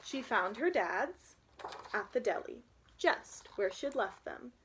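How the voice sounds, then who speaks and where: A woman's voice: on the high side, animated, takes it slowly, background noise. A young woman reading a children's picture book aloud, with chatty asides to her listeners. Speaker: storybook reader.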